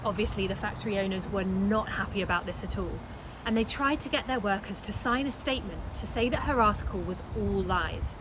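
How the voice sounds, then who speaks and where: A woman's voice: mid-range voice, a little fast. A woman giving a scripted storytelling narration. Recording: clear urban traffic noise behind. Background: cars and street ambience.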